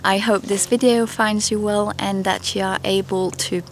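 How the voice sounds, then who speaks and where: A woman's voice: medium pitch, fairly even intonation, normal speed, slight background noise. Chatty woman vlogging outdoors. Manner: friendly, chatty, with small laughs and fillers. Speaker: vlogger, mother. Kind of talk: casual vlog narration to camera. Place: outdoors in a winter forest by a car. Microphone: camera held at arm's length.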